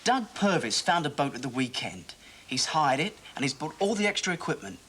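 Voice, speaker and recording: A man's voice: a little high, big pitch swings, fairly quick, fairly bright tone, slight background noise. An actor playing a jokey, teasing local radio DJ presenting his show. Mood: upbeat. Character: breezy male local radio DJ, quick-witted. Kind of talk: 1980 TV drama acting.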